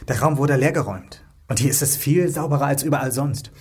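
A man's voice: mid-range voice, brisk, natural voice. A man playing a curious, cheeky helper in a police investigation, casual and wry, in a German radio crime drama. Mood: tentative, explaining.

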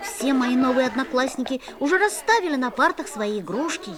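A woman's voice: high-pitched, animated, fairly quick, a crowd in the background. A woman voicing a curious young schoolboy who narrates a musical fairy-tale audio play in an earnest, storytelling way.

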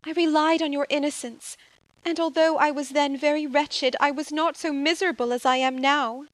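A woman voicing a gentle young noblewoman, earnest and emotional, in an audio drama. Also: high voice, steady tone, normal speed, no room sound, lightly acted. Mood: sorrowful. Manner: earnest, emotional, tender pleading.

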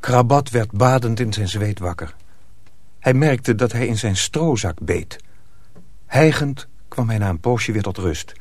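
A man narrating a dark folk tale for a radio drama in a measured, serious manner. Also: fairly low pitch, expressive, dry recording. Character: sober male storyteller of a dark folk tale.

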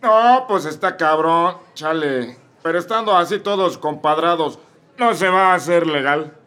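A man's voice: on the high side, unhurried, sharp tone. A man with a Mexican accent voicing a drunk, argumentative preacher on trial in a comedy radio drama. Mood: drunken. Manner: slurred, crude and argumentative.

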